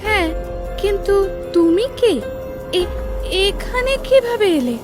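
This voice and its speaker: A woman voicing a fearful, sorrowful young captive princess in a fairy-tale cartoon, speaking in a halting, pleading way. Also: extremely high pitch, over music. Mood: anxious, questioning.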